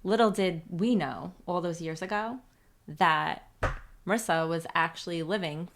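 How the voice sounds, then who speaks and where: A woman in her forties hosting a podcast, speaking in a soft, reflective way with many pauses. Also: on the low side. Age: 40s. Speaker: podcast host. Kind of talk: casual faith-based chat.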